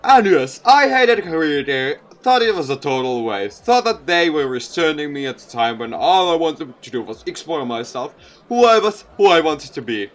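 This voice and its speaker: A man's voice: a little high, very animated, normal speed, fairly bright tone. A man voicing a jovial, earnest mayor from a visual novel, giving an encouraging, folksy public speech.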